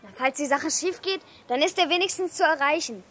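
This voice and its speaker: A woman's voice: high voice, fairly quick, a bit bright. A woman playing a sensible young child among a gang of friends in a children's radio play.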